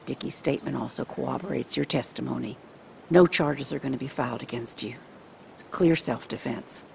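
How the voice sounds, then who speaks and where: An actress playing a folksy, neighborly small-town police chief with a gravelly voice, sympathetic yet businesslike, in a video game. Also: female voice, very deep voice, a little fast, darkish tone, exaggerated voice. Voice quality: very creaky, gravelly. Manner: homespun, neighborly. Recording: faint ambient noise behind.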